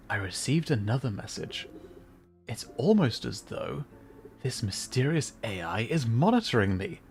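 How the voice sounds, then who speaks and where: A man voicing an excitable, self-important scientist in a video game, with pompous, theatrical delivery. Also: medium pitch. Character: excitable, self-important male scientist reading out his message. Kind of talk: in-game dialogue read aloud.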